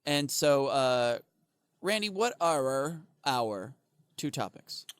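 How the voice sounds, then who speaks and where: A man's voice: mid-range voice, very animated, slow pace, clear, resonant tone, dry recording, studio quality. A man co-hosting a comedy podcast, riffing in casual banter and laughing often. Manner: joking, laughs often, goofy riffs. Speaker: podcast co-host.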